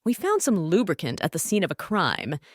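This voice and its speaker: Woman voicing an earnest, expressive male detective car. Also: fairly low pitch, brisk, no echo, studio quality. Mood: serious.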